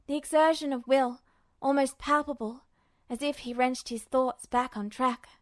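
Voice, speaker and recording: A woman's voice: high voice, fairly even intonation, moderate pace, dry recording. A woman reading scripted fiction aloud as the narrator of a story. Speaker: podfic narrator.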